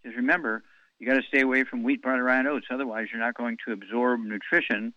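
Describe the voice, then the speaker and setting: A man's voice: medium pitch, steady tone, fast, no echo, lo-fi sound. A man hosting a call-in health show on the radio, blunt and wisecracking, rattling off long lists of advice.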